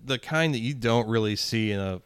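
A man's voice: mid-range voice, normal speed, clear, resonant tone, no room sound, clean studio sound. A man co-hosting a podcast, chatting casually in loose slang with plenty of swearing. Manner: profane, slangy. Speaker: podcast co-host. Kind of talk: casual chat about music.

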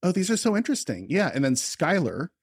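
A man's voice: fairly high pitch, huge pitch swings, fairly quick, husky, dry recording, studio quality. A man hosting a comedy podcast, playful and teasing in casual chat. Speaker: podcast host.

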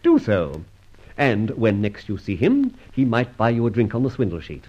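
An actor playing a suave, teasing gentleman adventurer in an old radio serial, pompous and flippant with mock courtesy. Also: fairly low pitch, wildly swooping pitch, moderate pace. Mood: amused. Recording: dull old radio recording.

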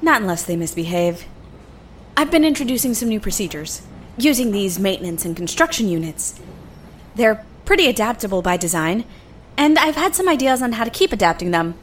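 A woman's voice: mid-range voice, very animated, a little fast, slight background noise, natural voice. A woman acting an overworked, defensive engineer in a science fiction audio drama. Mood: focused, weary.

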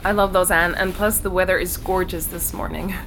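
A woman's voice: fairly low pitch, steady tone, brisk, fairly bright tone, a little hiss or hum, rough recording. An actress playing a cheerful woman friend who knows her music, talking in a breezy, chatty way that laughs easily, in an audio drama.

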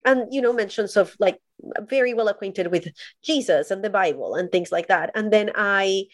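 A woman's voice: medium pitch, moderate pace, no echo. A woman from Colombia who is a podcast guest, telling her story in an earnest, thoughtful way. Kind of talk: reflective personal storytelling.

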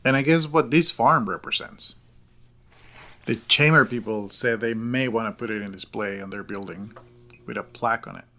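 A man's voice: medium pitch, normal speed, bright, forceful tone. Earnest grown man. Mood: earnest enthusiasm. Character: grown man fond of old tractors, boyish, earnest. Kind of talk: audio drama dialogue.

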